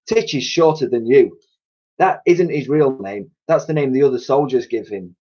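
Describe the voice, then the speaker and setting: A man's voice: medium pitch, normal speed, warm tone, pitched above the performer's own voice, close to an everyday voice. A man voicing a dotty, kindly cleaning lady with a chatty, colloquial manner in a children's storybook. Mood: friendly. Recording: dull lo-fi recording.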